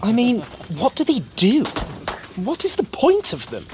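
A woman's voice: fairly high pitch, wildly swooping pitch, a little slow, pitched above the performer's own voice. A woman reading an audiobook, voicing a sneering, mocking rich schoolboy. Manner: drawling, mocking. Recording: noisy hissy recording.

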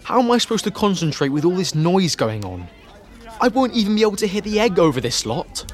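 A woman's voice: on the low side, very animated, brisk. A woman voicing a casual, good-natured but easily exasperated teenage schoolboy in a storybook reading.

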